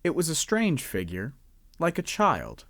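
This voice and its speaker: A man narrating a radio drama in a measured, expressive storytelling manner. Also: on the high side, dry recording, studio quality.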